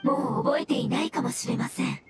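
A woman's voice: high voice, a little fast, loud music behind the voice, close to an everyday voice. A woman voicing a polite, sorrowful mushroom spirit in an anime game, formal and earnest. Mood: grateful, devout. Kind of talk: anime game dialogue acting.

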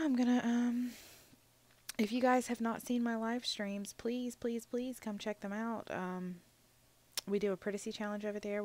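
Young woman, sniffly, casual chatty game voiceover; low, full voice, loose and rambling with plenty of ums. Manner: rambling, chatty, many fillers. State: a little sniffly. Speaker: gaming channel host.

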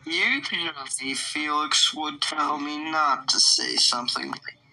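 A man's voice: a little high, slow pace, creaky, pushed and bright, rough recording. A man playing a menacing, taunting monster villain in an improvised video game roleplay. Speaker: roleplay video creator voicing characters.

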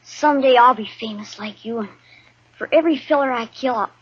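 A woman's voice: fairly high pitch, a little fast, breathy edge. A woman voicing a cocky, boastful young runaway boy with a rustic American accent in a 1950s radio western. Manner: boastful, folksy. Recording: dull vintage 1950s radio recording.

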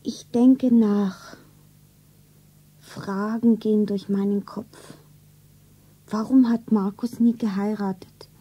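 A woman's voice: mid-range voice, steady tone, takes it slowly, long pauses, dark tone, breathy edge, rough recording. An actress playing a naive, earnest young shop girl in love in a radio play. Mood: pensive. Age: young adult. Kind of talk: stylised radio play acting, narrating her own scene. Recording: 1970s radio play, music behind.

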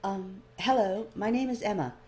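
A woman's voice: medium pitch, moderate pace, darkish tone, soft background music, voice pushed up, close to an everyday voice. A woman voicing a guarded elf newcomer in a fantasy story, polite, careful and dryly exasperated.

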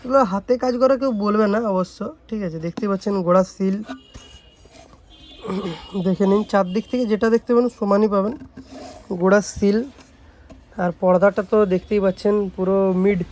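A man's voice: high voice, a little fast, long pauses, a little mellow. A young man with a West Bengali accent giving an informal product showcase, often adding short check-in tags as he talks. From West Bengal, India. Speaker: speaker repair shop presenter.

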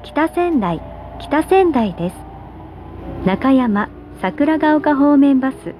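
High-pitched polite woman announcing on a train. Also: big pitch swings, warm tone. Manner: polite, measured, carefully enunciated. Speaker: subway announcer. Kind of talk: scripted train station announcement. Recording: train PA with vehicle noise.

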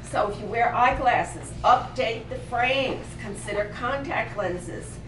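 A woman's voice: on the high side, slow. An older woman with a Brooklyn accent presenting an image workshop to a small group, emphatic and punchy, firing direct questions at her audience. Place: boutique shop floor, indoors. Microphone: far camera, no visible mic. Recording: roomy, distant camera audio with background noise.

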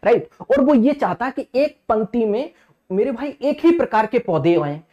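A man's voice: very high-pitched, expressive, normal speed, warm tone, dull audio. A man in his thirties teaching exam maths step by step, repeating points and checking that listeners follow. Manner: instructive, repetitive, often addresses listeners as friends and checks understanding. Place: indoor teaching studio at a digital board.

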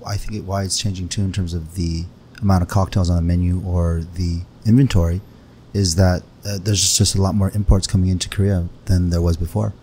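A man's voice: little pitch movement, brisk, mellow. A man, a bartender guest on a podcast, casually explaining things at a close microphone in a bar lounge. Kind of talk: casual explanatory interview answers. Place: wood-panelled bar lounge, indoors. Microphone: close podcast microphone on stand. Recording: low rumble and faint room noise.

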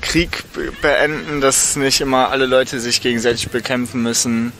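A man's voice: mid-range voice, fairly even intonation, moderate pace, bright, forceful tone, slight background noise. A young man chatting in a hesitant, offhand way during an outdoor interview. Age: young adult. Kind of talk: casual chat. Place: park picnic, outdoors.

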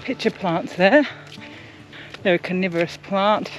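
A woman's voice: medium pitch, unhurried, faint music behind, poor audio. A middle-aged woman with a New Zealand accent, chatting to a handheld camera while hiking a forest trail. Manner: warm, chatty, points things out to the camera. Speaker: sailing vlogger. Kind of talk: casual vlog narration while hiking. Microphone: handheld camera at arm's length.

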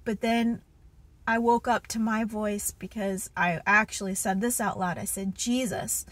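Husky woman telling a dream in her car. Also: mid-range voice, steady tone, a little slow, a bit bright, no echo. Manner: earnest, many fillers and restarts. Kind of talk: casual testimony and Bible reading. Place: inside a parked car. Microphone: phone held at arm's length.

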